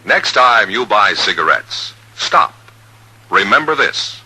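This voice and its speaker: A man's voice: fairly low pitch, big pitch swings, slow, sharp tone, slightly rough, poor audio. A man delivering a scripted cigarette-style radio commercial of the 1950s. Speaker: commercial announcer. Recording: vintage 1950s radio recording. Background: music.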